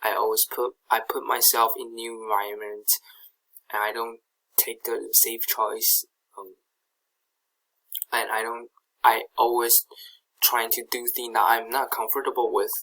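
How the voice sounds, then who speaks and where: Young man, Taiwanese accent, native Chinese speaker, bright timbre, gravelly edges, halting slide talk. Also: medium pitch, flat delivery, poor audio. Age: young adult. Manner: hesitant, many fillers and restarts. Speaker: student presenter. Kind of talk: slide presentation.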